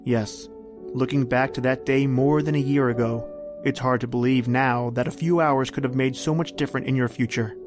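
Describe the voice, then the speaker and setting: An actor as an ominous male storyteller in a radio suspense play. His mid-pitched delivery is dramatic and deliberate, with an insinuating edge, as faint music plays beneath it. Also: steady tone, natural voice. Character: ominous male narrator addressing the hero as you. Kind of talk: old-time radio suspense narration. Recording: music under the voice.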